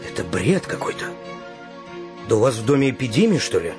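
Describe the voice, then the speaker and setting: An actor playing a stern, indignant grown son in a radio drama, curt, reproachful and sardonic. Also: male voice, lowish voice, sing-song, brisk, over music. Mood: stern disbelief.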